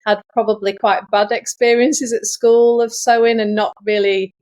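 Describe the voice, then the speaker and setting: A woman's voice: on the high side, level tone, moderate pace, a little mellow. A middle-aged woman with an English accent, chatting informally and recounting her experiences as an interview guest. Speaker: guest, sewing teacher. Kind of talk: casual conversational storytelling.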